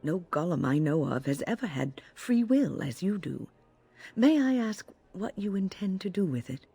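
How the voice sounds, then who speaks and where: A woman's voice: fairly low pitch, sing-song, moderate pace, creaky, warm tone, breathy edge, exaggerated voice. An actress playing a polite, curious woman companion in a fantasy game, speaking earnestly and courteously. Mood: fascinated.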